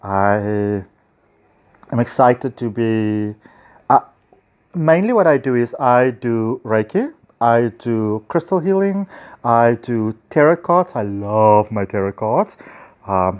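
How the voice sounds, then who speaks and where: A man's voice: mid-range voice, a little mellow. Enthusiastic South African man chatting casually. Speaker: spiritual healer and teacher. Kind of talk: casual vlog monologue to viewers. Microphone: handheld phone.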